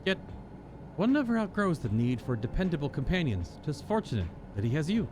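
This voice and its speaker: A man voicing a thoughtful, formal game character as he reads dialogue aloud, measured and earnest. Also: on the high side, very animated, background noise. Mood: thoughtful, solemn. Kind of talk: reading quest dialogue aloud. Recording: game music behind.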